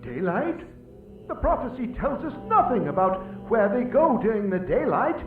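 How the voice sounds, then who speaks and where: An urgent, fearful villager acted by a man in a radio horror play. The voice is extremely high and animated, dramatic, on a tinny, echoey recording. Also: moderate pace, faint music behind. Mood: doubting. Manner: emphatic, dramatic. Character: frightened, urgent villager seeking escape from vampires. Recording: tinny band-limited audio, distant, echoey.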